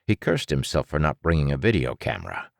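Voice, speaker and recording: A man narrating fiction for an audiobook in a dramatic, measured manner. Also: very deep voice, sing-song, creaky, no room sound, studio quality.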